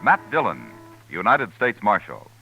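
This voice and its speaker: A man giving a dramatic, booming 1950s radio show announcement. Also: huge pitch swings, measured pace. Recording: dull vintage radio with loud music.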